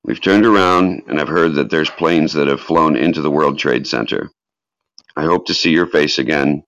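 A man voicing an anguished woman in danger, pleading and halting, in a dramatic reading of last phone calls. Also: very deep voice, a bit bright, no room sound, muffled recording. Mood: tearful, loving.

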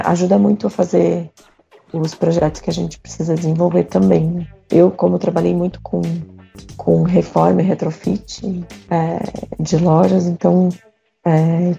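A hoarse woman architect chatting casually on a podcast, halting and full of fillers. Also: fairly low pitch, mellow. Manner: halting, many pauses and fillers. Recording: dull lo-fi sound with faint music.

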